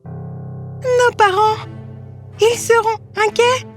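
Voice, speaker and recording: A woman's voice: very high voice, little pitch movement, very slow, soft background music, full character voice. A woman playing an anxious, earnest young girl in a fairy tale cartoon, with a childlike manner. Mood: worried.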